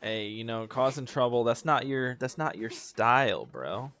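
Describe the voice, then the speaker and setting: Casual male game streamer, mid-pitched. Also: animated, unhurried, fairly bright tone. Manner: slangy, joking asides. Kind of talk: casual playthrough commentary, reading game text aloud. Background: faint game music.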